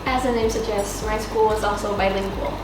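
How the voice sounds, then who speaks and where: A woman's voice: mid-range voice, fairly even intonation, fairly quick, background noise. A teenage female student, a native Thai speaker, talking about school in a semi-prepared discussion. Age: teenager, high school student. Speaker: student panelist. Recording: echoey room, distant mic, music behind.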